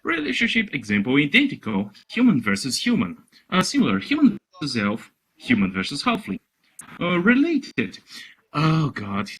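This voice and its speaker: A man reading aloud mockingly in casual banter, halting often with exasperated, incredulous asides. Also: fairly high pitch, wildly swooping pitch, fairly bright tone, breathy edge. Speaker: reader on a comedy reading show.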